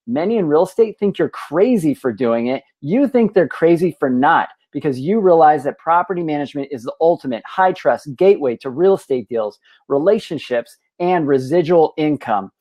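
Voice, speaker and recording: A man hosting a business podcast, opening with an energetic scripted welcome before interviewing his guest. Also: a little high, moderate pace. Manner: energetic, promotional. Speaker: podcast host. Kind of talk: scripted show intro then interview.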